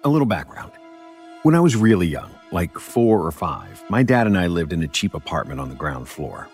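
A man's voice: low-pitched, very animated, moderate pace, darkish tone, soft background music. An actor playing a grown man who recounts an uneasy childhood memory in a colloquial, wry way, as first-person horror storytelling. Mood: uneasy, wry reminiscing.

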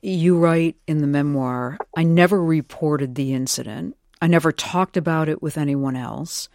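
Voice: mid-range voice, moderate pace, vocal fry, darkish tone, no echo, clean studio sound. An interviewer speaking in a thoughtful, attentive, conversational radio style.

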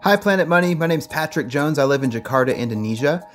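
A man's voice: a little high, animated, fast talker. Cheerful man listener message over music. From Seattle, USA. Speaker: listener caller. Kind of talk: recorded voice message.

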